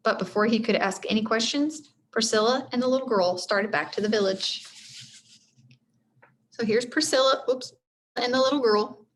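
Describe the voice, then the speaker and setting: A woman reading a children's storybook aloud like a teacher, expressive, speaking directly to her listeners. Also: on the high side, moderate pace. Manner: expressive, addresses listeners directly, stumbles over hard names. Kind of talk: read-aloud storybook narration with friendly asides.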